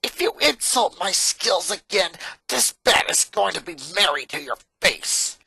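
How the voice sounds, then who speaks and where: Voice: medium pitch, expressive, measured pace, gravelly, slightly rough, dry recording, full character voice. Narrator putting on a brash, hot-tempered tomboy. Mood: threatening. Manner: brash, forceful. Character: cocky, hot-tempered sporty tomboy girl, egotistical and superstitious.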